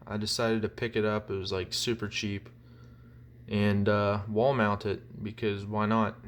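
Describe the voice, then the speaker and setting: Slow-talking man, casual and hesitant. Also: lowish voice, flat delivery. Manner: hesitant, rambling delivery. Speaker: hobbyist collector presenting items. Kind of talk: casual show-and-tell review. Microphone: phone, handheld or propped nearby.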